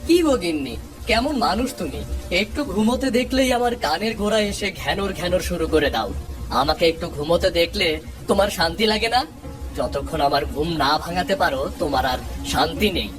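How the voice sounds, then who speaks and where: A man voicing a grumbling, fretful village husband and father in a cartoon, expressive and homely. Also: high-pitched, a little fast, over music. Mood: sleepy, irritated. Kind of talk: Bengali moral-story cartoon voice acting.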